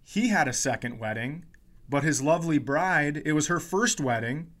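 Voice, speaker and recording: A man's voice: on the high side, expressive, moderate pace, fairly bright tone. A man who hosts a podcast as a comedian, telling stories casually with swearing, mock indignation and quoted dialogue.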